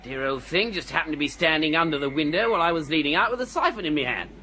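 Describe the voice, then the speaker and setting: An actor playing a cheeky, high-spirited young student with an upper-class English accent in a radio comedy, jaunty and boisterous. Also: male voice, animated, fast talker, sharp tone, slight background noise. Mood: gleeful. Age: young adult. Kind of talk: radio comedy drama acting.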